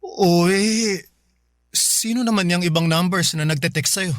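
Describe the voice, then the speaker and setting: A man's voice: high voice, unhurried, a bit bright, no echo, clean studio sound. A man playing an anxious live-in boyfriend in a radio drama, suspicious yet apologetic, with a hesitant, pleading manner. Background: music bed.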